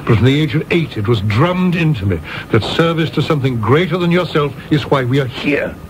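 An actor playing a prim, aggrieved, principled theatre producer in a radio play, with a formal and reproachful way of talking. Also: male voice, a little high, animated, a little fast, slight background noise, lightly acted, poor audio. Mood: earnest, aggrieved.

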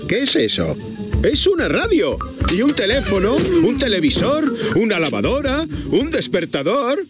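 A man's voice: very high voice, huge pitch swings, measured pace. A man with a Castilian Spanish accent narrating a preschool cartoon, talking playfully to the characters and expressively to small children. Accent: Castilian Spanish from Spain. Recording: children's music behind the voice.

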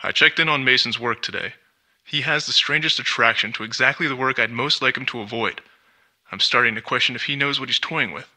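An actor playing an arrogant, suspicious lab scientist in an adventure game, dictating work notes in a measured, condescending, precise way. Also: male voice, medium pitch, bright, forceful tone. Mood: smug, analytical. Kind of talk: scripted adventure game voice acting, diary-like monologue.

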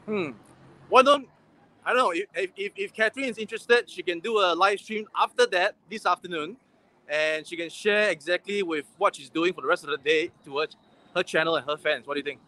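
A man's voice: sits high, brisk, bright, forceful tone. A man in his forties hosting a casual livestream while walking a busy city street, chatting and reading viewer comments, filmed on a phone at arm's length. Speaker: livestream host. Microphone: gimbal-mounted phone at arm's length. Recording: faint street noise.

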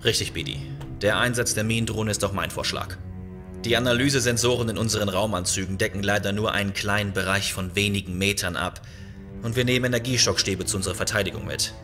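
Man as starship captain for sci-fi radio play: low voice, decisive, matter-of-fact, music underneath. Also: fairly even intonation, fast talker, natural voice. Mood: firm command. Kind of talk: audio drama acting in a sci-fi thriller.

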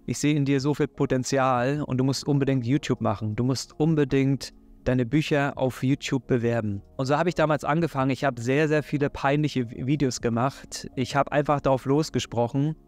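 A man's voice: medium pitch, fairly even intonation, fairly quick, few pauses, a little mellow. A man in his thirties from Hamburg with a Hamburg German accent, giving an earnest, encouraging faith talk straight to camera in a living room. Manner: earnest, encouraging, direct address. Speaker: faith and self-help YouTuber. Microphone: desk microphone in front.

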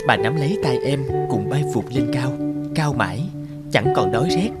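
Solemn, expressive storyteller, a man acting, lively pitch, cartoon tale, loud music bed. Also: moderate pace, natural voice. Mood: solemn, sorrowful. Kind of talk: scripted narration.

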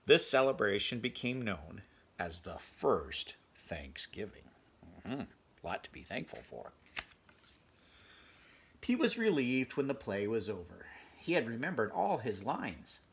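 Man, fifties, husky gravelly voice, lively intonation, children's read-aloud, chatty asides. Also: mid-range voice, unhurried. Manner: expressive, conversational, chatty asides to young listeners. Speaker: teacher reading a picture book aloud. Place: small furnished bedroom, indoors.

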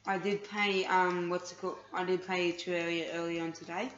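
A fairly low-voiced woman talks her way through a co-op game, hesitant and full of ums, bossing her partner around. The sound comes from a distant mic in an echoey room, dull and lo-fi with a faint hiss behind it. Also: flat delivery, normal speed. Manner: hesitant, lots of ums, bossy with her co-player. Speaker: gamer. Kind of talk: casual gaming chat. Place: room filmed off a TV screen. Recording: echoey lo-fi room recording with noise.

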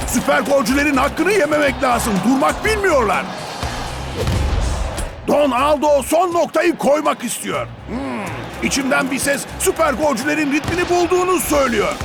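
Excitable cartoon football commentator, really high loud voice, music behind it. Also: male voice, moderate pace, gravelly, exaggerated voice. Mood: excited. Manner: loud, theatrical announcing. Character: excitable male football match commentator, dramatic and playful. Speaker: match commentator. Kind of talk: animated football commentary dub.

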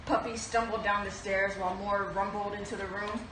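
A young adult woman reading a children's picture book aloud with expressive delivery, recorded from a camera in a small room. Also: medium pitch, normal speed. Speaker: animal shelter kennel technician reading aloud. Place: small indoor shelter room. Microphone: camera a few feet away. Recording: roomy, noisy, distant camera microphone.

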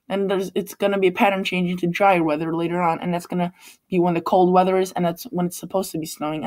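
Rambling man with a Polish accent. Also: high voice. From Poland. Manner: rambling, frequent restarts and self-corrections. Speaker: weather channel host. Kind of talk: casual forecast over screen-shared maps. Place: Chicago.

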